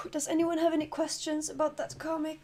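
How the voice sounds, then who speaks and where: A woman's voice: sits high, monotone, brisk, a little mellow, slight background noise. A woman streamer chatting casually between comic readings, jokey and giggly.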